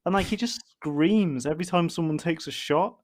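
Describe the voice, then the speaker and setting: A man's voice: on the high side, normal speed. A man hosting a podcast in casual chat, ranting comically in a sweary, exasperated way. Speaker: podcast host.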